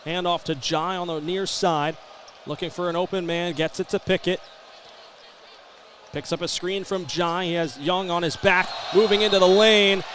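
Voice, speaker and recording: An American man doing brisk, descriptive live basketball play-by-play that can rise to excited calls. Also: high voice. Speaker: play-by-play sports announcer. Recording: live arena broadcast, background ambient noise. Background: basketball game ambience.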